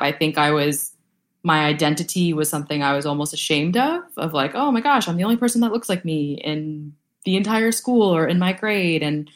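A young woman from the Boston area, a food brand co-founder, talking as a guest in a casual interview, upbeat and chatty with lots of "like". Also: low voice. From Boston area, USA. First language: Vietnamese. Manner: upbeat, chatty, many "like" fillers.

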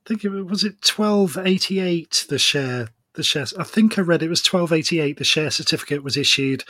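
Husky-voiced male podcast host. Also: fairly high pitch, moderate pace, bright, forceful tone, no echo. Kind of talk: interview hosting.

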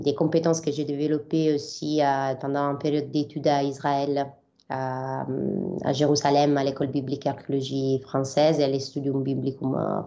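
Italian woman, low hesitant voice. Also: warm tone, lo-fi sound. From southern Italy. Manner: hesitant, many fillers and restarts. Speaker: interview guest, biblical scholar. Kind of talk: conversational interview answers.

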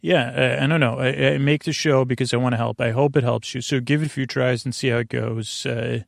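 Creaky-voiced man, meandering bedtime podcaster. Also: medium pitch, steady tone, no room sound, studio quality. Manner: meandering, halting, self-interrupting, earnest. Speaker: podcast host. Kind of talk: rambling, soothing bedtime podcast monologue.

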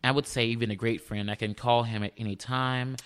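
A man who sings countertenor, speaking in a high voice, talking earnestly and warmly in a casual interview. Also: steady tone, a little fast, clear, resonant tone. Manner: earnest, warm, with frequent restarts. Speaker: countertenor singer, guest.

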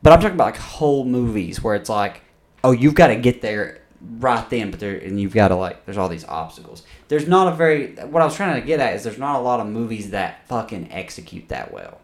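A man's voice: lowish voice, big pitch swings, fairly quick, echoey room. A man in his thirties co-hosting a podcast, rambling and swearing casually, laughing often. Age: 30s. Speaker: podcast co-host. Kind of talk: crude casual chat.

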